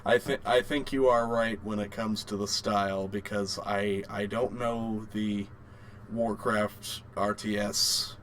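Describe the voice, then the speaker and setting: A man co-hosting a podcast in casual chat, halting and often restarting his phrases. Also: on the low side, steady tone, fairly bright tone, slight background noise. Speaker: podcast co-host. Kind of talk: casual chat about video game movies.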